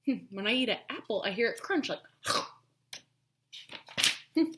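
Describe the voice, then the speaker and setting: A woman teacher reading a children's storybook aloud, expressive and emphatic, pausing to think aloud and ask questions. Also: fairly high pitch, background noise.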